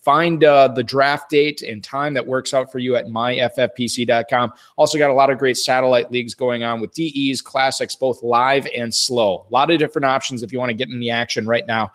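A man's voice: medium pitch, fairly even intonation, brisk, hardly any pauses, a bit bright. Upbeat chatty male show host. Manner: upbeat, chatty, reads plugs and contact details. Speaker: podcast host. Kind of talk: casual radio show hosting with sponsor reads. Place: radio studio.

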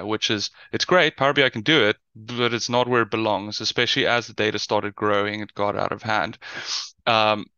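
A man's voice: on the low side, sharp tone, clean tone, dry recording, lo-fi sound. A man with a South African accent giving a conversational webinar presentation, with many fillers and restarts. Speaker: guest presenter, business intelligence lead.

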